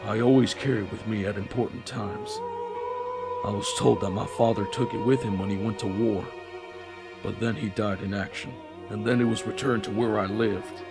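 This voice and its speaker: Anime fandub villain: male president played by a man, deep-set gravelly tone, formal, solemn, persuasive, over prominent score. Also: fairly even intonation, over loud music, close to an everyday voice. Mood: earnest, wistful. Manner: measured, formal, declamatory. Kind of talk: dramatic anime fandub monologue. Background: dramatic orchestral soundtrack.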